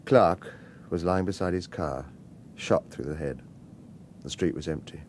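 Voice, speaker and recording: A man's voice: deep voice, measured pace, darkish tone, slight background noise. An actor playing a guarded, world-weary retired hitman in a radio crime drama, terse and wry. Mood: tense, brooding. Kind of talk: radio crime drama dialogue and first-person noir narration.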